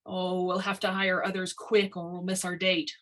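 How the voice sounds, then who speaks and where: A woman's voice: on the low side, completely flat delivery, normal speed, fairly bright tone, natural voice. A woman voicing a stubborn, harried male construction boss with a blunt, emphatic manner in a storybook reading. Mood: worried.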